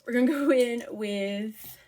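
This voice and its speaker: A woman's voice: a little high, unhurried, warm tone. A woman chatting casually as she tells a story while doing her makeup, with informal asides and a giggly manner. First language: English. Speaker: teacher.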